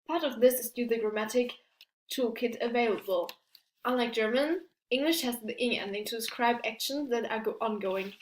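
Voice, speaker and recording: Student presenter, young woman, higher voice, halting delivery full of restarts, roomy echo. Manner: hesitant, many restarts and fillers, non-native English phrasing. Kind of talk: class presentation. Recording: echoey room.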